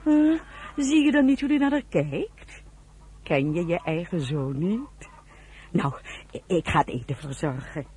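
A woman's voice: lowish voice, expressive, a little slow, long pauses, darkish tone. An actress playing an older, fussing farm mother in a radio drama, stammering and repeating herself as she bustles. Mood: fussing, eager. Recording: noisy old radio play recording.

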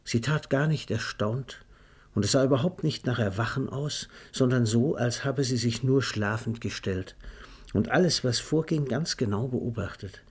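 Ein Mann erzählt in einem Hörspiel eine literarische Geschichte, ausdrucksvoll, genau und mit leiser Ironie. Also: male voice, mid-range voice, normal speed, breathy edge. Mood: quiet, tender. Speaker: narrator. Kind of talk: scripted literary narration for a radio play.

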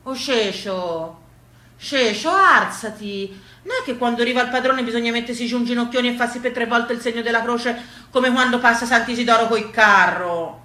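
A woman's voice: fairly high pitch, fairly even intonation, quick pace, sharp tone. A woman with a Tuscan accent performing a theatrical monologue as a storyteller, folksy, emphatic and rhythmic. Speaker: stage actress and storyteller. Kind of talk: theatrical monologue of rustic family memories. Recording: echoey, roomy sound.

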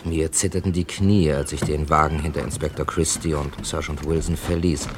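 Low-voiced wry crime narrator. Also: male voice, fairly even intonation, normal speed. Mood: dry, suspenseful narration. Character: male first-person crime narrator, wry, drawn into trouble. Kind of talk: 1950s-80s German radio crime play acting. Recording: old radio play, faint background noise.

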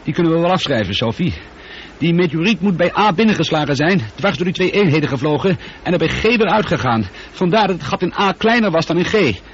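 A man playing an excitable, sceptical space traveller in a radio drama, questioning bluntly and with feeling. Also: a little high, quick pace, lightly acted. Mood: urgent. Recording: dull, noisy old radio drama recording.

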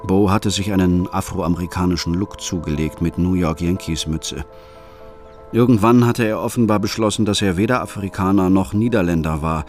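Man as a troubled middle-aged father, low voice, measured, brooding narration, radio drama, music behind. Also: darkish tone, lightly acted. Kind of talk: scripted first-person radio play narration. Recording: music under the voice.

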